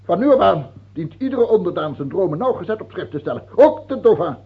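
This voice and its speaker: A muffled, phone-like radio play recording of a tyrannical king. His husky, creaking voice swoops widely. He is capricious and suspicious, repeating himself as he slides between wheedling and command. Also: high voice, sing-song, a little fast, heavily acted. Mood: suspicious, mocking. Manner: repeats himself, swings from wheedling whispers to shouting. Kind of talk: old radio drama acting.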